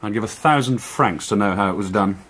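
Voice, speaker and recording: A man's voice: on the low side, noisy background, natural voice. An actor playing a brilliant, cocky young male journalist and amateur detective in a radio drama, eager and teasing. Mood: intrigued. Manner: eager, brisk, self-assured, teasing.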